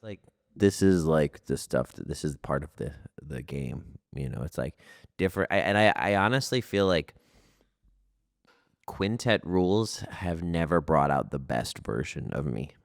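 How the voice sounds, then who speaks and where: A man, a grappling competitor, speaking as a guest on a podcast in casual chat, in a flat, monotone, unhurried way. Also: very deep voice, gravelly, no room sound, clean studio sound. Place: podcast studio.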